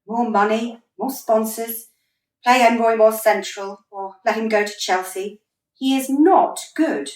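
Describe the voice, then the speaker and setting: A woman actor plays a blunt female football manager in a radio play. Her pitch is fairly high and swings widely as she scolds with exasperation. She sounds a little away from the microphone, in a roomy space. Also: recorded from a distance. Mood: exasperated. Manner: emphatic, scolding, deliberate. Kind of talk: radio drama acting.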